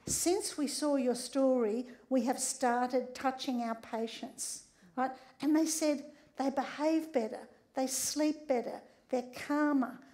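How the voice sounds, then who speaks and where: Croaky older woman lecturing. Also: on the high side, dark tone. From Australia. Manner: conversational, often tags lines with "right?". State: a bit croaky. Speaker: speaker giving a public talk. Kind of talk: lively public lecture. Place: large theatre stage.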